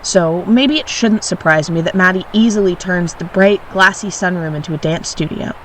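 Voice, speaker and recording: A woman playing a wry, reflective storyteller, narrating an audio drama in a measured way. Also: on the low side, a little hiss or hum, close to an everyday voice, rough recording. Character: wry, reflective storyteller recalling childhood mischief.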